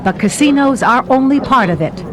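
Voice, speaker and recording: A woman's voice: sits high, animated, a little fast, crowd noise. A woman reading a scripted travel narration as the reporter of the segment. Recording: music behind the narration. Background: background music.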